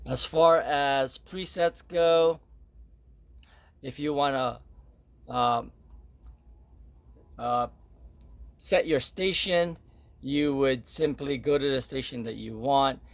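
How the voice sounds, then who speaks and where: Male demonstrator, gravelly fry, mid-pitched, off-the-cuff and hesitant, walking through features step by step. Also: takes it slowly, pauses often, dry recording. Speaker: product demonstrator. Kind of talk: casual unscripted product demo.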